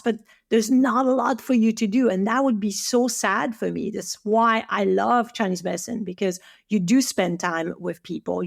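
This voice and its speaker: Woman, French accent, French native speaker, podcast guest, eager, frequent restarts, gravelly fry. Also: mid-range voice. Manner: eager, many restarts and fillers. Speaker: guest, Chinese medicine teacher. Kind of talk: podcast interview.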